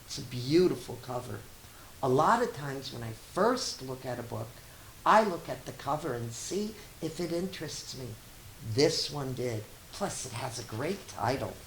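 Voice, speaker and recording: A woman teacher reading a picture book to children, with chatty, expressive asides and questions. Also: rumbling low, unhurried. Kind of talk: animated read-aloud with chatty asides. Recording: roomy echo with faint hiss.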